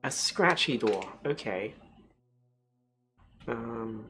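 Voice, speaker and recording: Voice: medium pitch, animated, drawn-out words, fairly bright tone, a little hiss or hum. A streamer reading a text adventure game aloud as story narration, with casual asides. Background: music.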